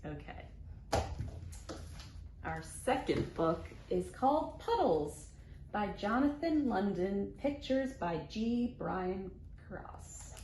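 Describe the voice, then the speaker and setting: Casting: female children's storytime reader, unhurried and sing-song, playful with sound words, recorded in an echoey room. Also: mid-range voice, expressive, darkish tone. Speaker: children's librarian, storytime host. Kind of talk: expressive picture-book read-aloud for young children, with rhymes. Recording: roomy echo, faint background noise.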